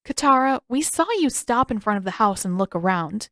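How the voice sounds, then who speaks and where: A woman's voice: medium pitch, lively intonation, normal speed, a bit bright, no echo, clean studio sound. A woman voicing an earnest, mild-mannered young boy in an audiobook, pleading his point like a peacemaker.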